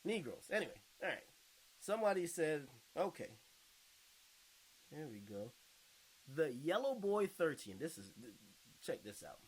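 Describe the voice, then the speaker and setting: Casting: man for casual reaction videos, higher-than-average voice, very animated delivery, slangy and bluntly derisive. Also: measured pace, pauses often, breathy edge, low-quality recording. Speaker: video commentator reading viewer comments.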